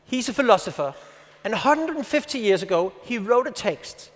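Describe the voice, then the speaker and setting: Danish man, 50s, high voice, conference speaker, storytelling delivery, reverberant hall. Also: very animated. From Denmark. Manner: storytelling, reads a passage aloud with pauses. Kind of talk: presentation to an audience.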